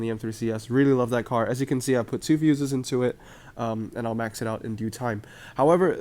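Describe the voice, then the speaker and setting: Casting: young male gaming host, mid-pitched, chatty and upbeat, voice a bit off from a cold. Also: darkish tone. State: voice slightly off from a cold. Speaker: mobile game video host. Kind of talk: casual gaming commentary to viewers.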